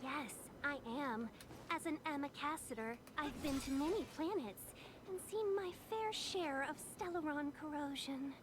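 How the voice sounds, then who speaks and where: Game role: polite young female envoy, coy and gentle, high pitch, slow measured delivery. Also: background noise. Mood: composed. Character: polite, coy young woman, well-travelled trade envoy. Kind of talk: game voice acting.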